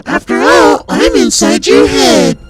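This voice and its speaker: A woman's voice: slow pace, close to an everyday voice. A woman voicing the murderous, deranged alter ego of a cartoon pony, mocking and gloating in a fan fiction drama. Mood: menacing, gloating.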